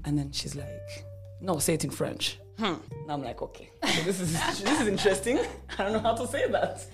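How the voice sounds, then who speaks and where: Lively storytelling woman from Rwanda. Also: fairly low pitch, brisk, over music. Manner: animated, laughs through her story, acts out quotes. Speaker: podcast guest. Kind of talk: casual storytelling.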